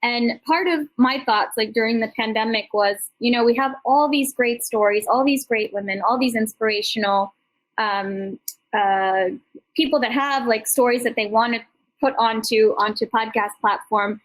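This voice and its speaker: A woman's voice: on the high side, normal speed, rough recording. A middle-aged woman speaking informally as a summit panel host, encouraging and effusive, rambling conversationally through anecdotes and motivation.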